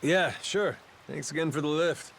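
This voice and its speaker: A man's voice: fairly high pitch, moderate pace, vocal fry, a bit bright, slight background noise. A man voicing a wry, informal male boat captain and adventurer in a video game dub. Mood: curious, casual.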